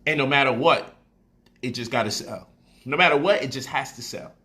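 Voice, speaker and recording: Animated, slangy man with a bright voice. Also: medium pitch, moderate pace. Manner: animated, slangy, repeats phrases for emphasis. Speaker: speaker explaining stock options to viewers. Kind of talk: casual livestream talk.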